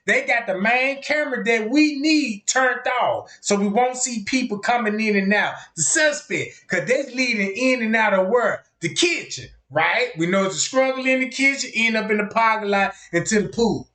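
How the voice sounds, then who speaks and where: Stream host, casual, pointing out details to viewers, mid pitch, echoey room. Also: expressive, moderate pace, hardly any pauses, sharp tone. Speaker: livestream host. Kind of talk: casual commentary over video footage.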